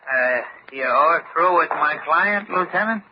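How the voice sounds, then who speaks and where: A chirpy, deferential morgue keeper from an old radio mystery, talking in plain uneducated speech. His voice sits a little high. The recording is muffled, with some hiss. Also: animated, unhurried. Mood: obliging, wry. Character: cheerful folksy morgue keeper, fond of his corpses. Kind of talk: vintage radio mystery acting.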